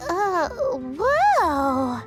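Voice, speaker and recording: A woman voicing a tiny, cheeky childlike fairy companion in a video game, squeaky and chirpy. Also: huge pitch swings, extremely slow, full character voice. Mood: startled. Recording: prominent music behind voice.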